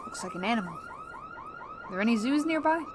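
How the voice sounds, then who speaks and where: Tough blunt space captain, war vet, sci-fi audio drama, highish voice, noisy background. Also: female voice, huge pitch swings, a little fast. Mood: alarmed. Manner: blunt, hard-edged. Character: hardened, vengeful female war-veteran space captain, blunt and defiant. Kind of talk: science-fiction audio drama acting.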